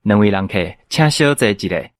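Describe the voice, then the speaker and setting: Low-voiced polite Taiwanese waiter played by a man. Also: animated, normal speed, no room sound, studio quality, lightly acted. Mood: polite, obliging. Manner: courteous. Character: polite, smiling male restaurant waiter. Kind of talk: radio drama acting.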